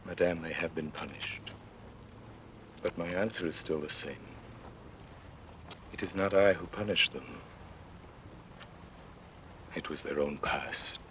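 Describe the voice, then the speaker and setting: A man's voice: a bass voice, steady tone, unhurried, long pauses. An actor playing a grave, courteous avenging nobleman in a solemn, restrained 1940s radio style. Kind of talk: 1940s radio drama acting. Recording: noisy old radio drama, distant.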